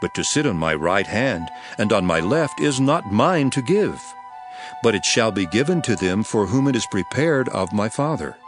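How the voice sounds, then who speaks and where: Deep male voice, solemn teacher, measured declamation, sweeping intonation, dramatized scripture. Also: big pitch swings, over music. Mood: solemn, authoritative.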